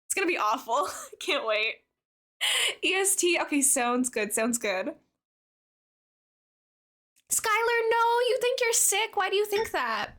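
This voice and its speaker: Bright, bubbly young woman livestreamer. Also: very high voice, sing-song, unhurried, long pauses. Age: young adult. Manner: bubbly, chatty. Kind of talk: casual livestream chat with viewers. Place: live game stream.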